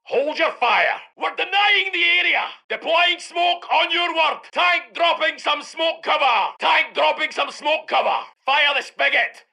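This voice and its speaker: British heavy tank commander for a strategy game: gruff, commanding, gravelly, sharp and forceful barks. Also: male voice, very high-pitched, animated, measured pace, hardly any pauses, exaggerated voice. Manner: barking short orders forcefully. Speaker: game voice actor. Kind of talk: shouted military game barks.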